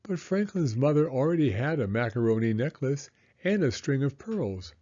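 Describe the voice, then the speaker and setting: A man narrating a children's storybook aloud in an expressive, measured storytelling manner. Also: mid-range voice, highs cut off.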